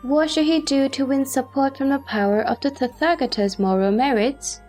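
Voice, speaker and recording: A woman playing an earnest bodhisattva who asks formal, measured questions in a sutra audio drama. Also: high-pitched, a little mellow, soft background music, close to an everyday voice. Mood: earnest inquiry.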